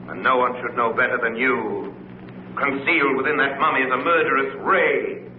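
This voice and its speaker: Male actor, 1940s radio serial: menacing, imperious crime fighter, deliberate delivery, thin noisy vintage sound. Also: mid-range voice, fairly even intonation, close to an everyday voice. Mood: taunting, accusing. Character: mysterious commanding avenger, a menacing unseen crime fighter. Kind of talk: 1940s radio drama acting. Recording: noisy tinny old-time radio recording.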